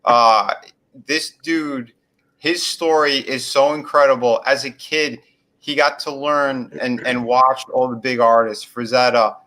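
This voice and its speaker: A man's voice: medium pitch, steady tone, a little slow, bright, forceful tone, rough recording. A man hosting a casual livestream chat, laid-back and eager, with filler words. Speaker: host with his own channel.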